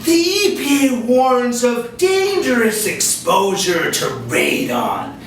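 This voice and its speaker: Man acting a sharp, high-voiced earnest questioner. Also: sing-song, slow pace, heavily acted. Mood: concerned, emphatic. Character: earnest questioner reading scientists' warnings to a bureaucrat. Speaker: questioner in satirical skit. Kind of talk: staged satirical puppet-show interview. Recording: echoey room, distant mic, faint noise.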